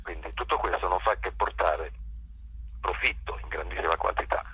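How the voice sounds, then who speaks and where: A man being interviewed about his work, explaining in a conversational way, haltingly, often repeating himself as he searches for words. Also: deep voice, breathy edge, phone-quality sound. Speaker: guest, actor and playwright.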